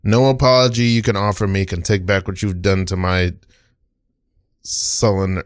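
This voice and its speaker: A man's voice: low voice, level tone, normal speed, no room sound, clean studio sound, natural voice. A man playing a stern, accusing, protective father in an improvised comedy scene. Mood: indignant. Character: indignant, protective father of an urchin boy.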